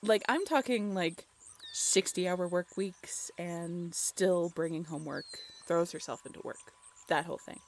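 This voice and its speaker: Woman acting chatty, lowish-voiced young storyteller, noisy recording. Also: unhurried. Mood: chatty, amused. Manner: informal, giggly, conversational asides with rhetorical questions. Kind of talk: casual, animated storytelling in an audio roleplay.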